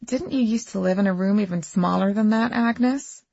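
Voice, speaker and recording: A woman's voice: medium pitch, normal speed, creaky, a little mellow, no echo, muffled recording, pitched above the performer's own voice. A woman voicing a haughty, entitled rich daughter in an audiobook, with a condescending, coolly snide manner.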